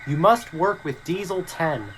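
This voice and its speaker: A man's voice: on the high side, animated, unhurried, fairly bright tone, a little hiss or hum, rough recording. A man voicing a railway boss in a children's story, formally handing out instructions. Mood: businesslike.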